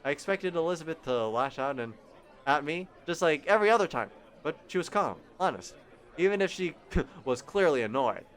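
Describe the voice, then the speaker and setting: A young man reading a visual novel aloud on stream, animated and giggly, with joking asides. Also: on the high side, moderate pace. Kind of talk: casual game narration.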